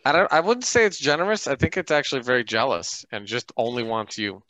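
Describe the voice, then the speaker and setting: A man's voice: mid-range voice, fairly quick, pushed and bright, no room sound, poor audio. A man chatting playfully on a video call during a tabletop game, joking and building on what others say. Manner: joking, riffing on others' ideas. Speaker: game player. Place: indoors, on a group video call.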